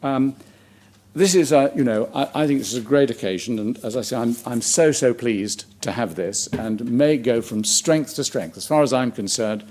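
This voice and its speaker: A man's voice: medium pitch, normal speed. A man giving a short formal thank-you speech to organisers, hesitating and repeating words. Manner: warm, hesitant with restarts. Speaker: senior guest giving closing thanks.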